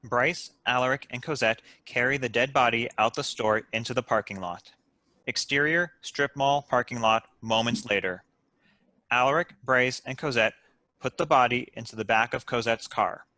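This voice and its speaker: Bright, crisp-edged man narrating a script. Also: mid-range voice, moderate pace, dry recording. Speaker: narrator reading stage directions. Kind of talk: scripted narration at a table read.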